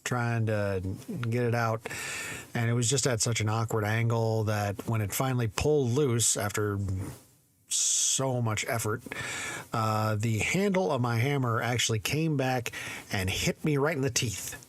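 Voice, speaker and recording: A man hosting a storytelling channel, chatting casually with many fillers before a reading, his voice slightly hoarse. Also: medium pitch, measured pace. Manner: rambling, hesitant, many fillers, chuckles.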